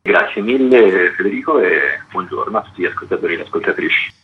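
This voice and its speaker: A man's voice: low-pitched, expressive, fast. A man, explaining his work as a guest festival coordinator in a radio interview, on dull, noisy call-in audio.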